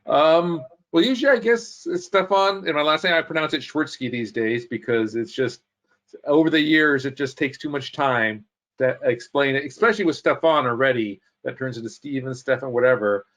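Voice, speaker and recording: A man's voice: medium pitch, moderate pace, a bit bright. A man in his fifties, a guest on an interview show, telling his life story in a rambling, self-correcting way. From United States. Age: 50s. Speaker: podcast guest. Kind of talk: casual interview chat.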